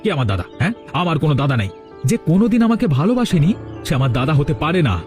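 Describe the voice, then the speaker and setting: A man's voice: a little high, big pitch swings, very fast, a little mellow, faint music behind. A man voicing a scheming, brazen young village man in a melodramatic cartoon, insolent and theatrical. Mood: defiant.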